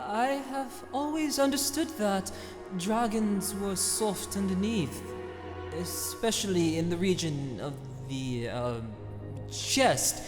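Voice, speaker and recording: A woman voicing a small, clever male hobbit burglar who stammers nervously and flatters slyly in an audio drama. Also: mid-range voice, slow. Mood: flattering. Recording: roomy hall echo with music.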